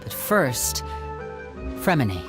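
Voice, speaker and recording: An actor playing a protective, composed young man in an anime-style video game, speaking in a smooth, earnest, measured way. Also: mid-range voice, sing-song. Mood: businesslike. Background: game music.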